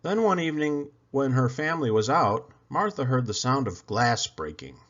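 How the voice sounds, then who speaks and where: A man narrating a children's storybook aloud in an expressive read-aloud style. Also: medium pitch, moderate pace, muffled recording. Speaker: storybook reader.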